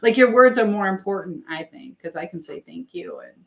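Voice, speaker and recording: Woman livestreamer just chatting, mid pitch, kinda rambly, trails off, half busy with chores while talking to chat. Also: expressive, moderate pace. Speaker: livestream host. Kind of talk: casual live chat, reading and answering viewer comments.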